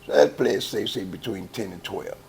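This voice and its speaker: An older man from Chicago with a heavy Southern-sounding accent, a gospel choir founder telling stories in a relaxed, laughing, folksy way. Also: deep voice, brisk, vocal fry, slight background noise. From Chicago, West Side. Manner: easygoing, laughing, folksy storytelling. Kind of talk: relaxed interview conversation.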